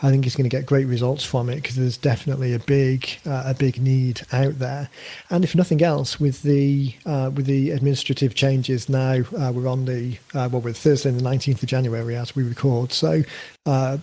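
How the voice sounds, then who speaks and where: A man in the host's chair of a casual podcast, walking through marketing ideas. He thinks out loud, with plenty of ums and restarts, in a mid-pitched voice that has gone slightly croaky. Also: flat delivery, moderate pace. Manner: explanatory, thinks aloud with frequent fillers and restarts. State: voice getting a bit croaky. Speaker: podcast host, book marketing coach.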